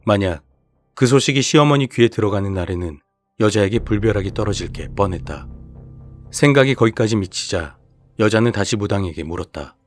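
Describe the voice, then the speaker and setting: A man's voice: on the low side, animated, brisk, faint music behind. A man reading scripted horror narration as the storyteller of a radio-style show.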